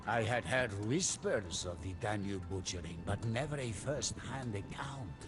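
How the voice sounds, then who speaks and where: Grave male storyteller, medieval war tale, dramatic game narration, unhurried pace, prominent music underneath. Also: lowish voice, animated. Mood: grave, reverent. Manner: solemn, storytelling. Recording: music behind the voice.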